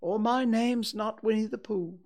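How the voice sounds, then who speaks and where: A man's voice: sits high, measured pace, gravelly, voice pushed up. A man doing a cheery little teddy bear. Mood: earnest. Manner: bright, eager, slightly sing-song. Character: cheerful small male teddy bear, earnest and simple. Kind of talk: storybook reading.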